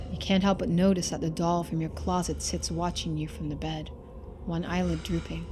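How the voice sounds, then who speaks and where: A woman's voice: lowish voice, mellow, a little hiss or hum. A woman reading game narration expressively and adding casual commentary as a streamer.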